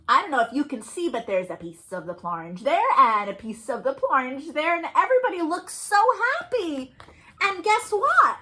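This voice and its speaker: A woman's voice: high-pitched, pushed and bright. A woman leading a children's storytime, speaking expressively and encouragingly in simple, sing-song phrases for young listeners. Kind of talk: animated children's storytime, reading, explaining and singing to young children.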